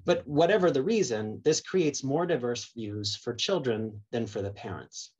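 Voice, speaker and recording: A man's voice: medium pitch, animated, moderate pace, a little mellow, breathy edge. A man giving a conference keynote over slides by video call, thinking aloud with many fillers and restarts. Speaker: keynote speaker. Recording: dull lo-fi video call audio.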